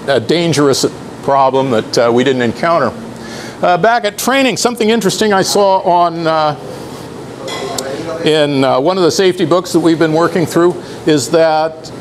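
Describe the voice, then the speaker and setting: A middle-aged man with a slightly high voice gives a live talk in a club room. He explains at an unhurried pace, restarting sentences now and then. A faint hum of noise sits under his voice. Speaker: presenter.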